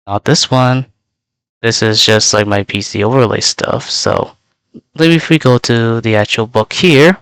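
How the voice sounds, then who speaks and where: A man's voice: mid-range voice, a bit bright, clean tone. A young man, a native Vietnamese speaker, gives a casual in-character self-introduction as a VTuber. He rambles with many filler words, and his voice is slightly worn out.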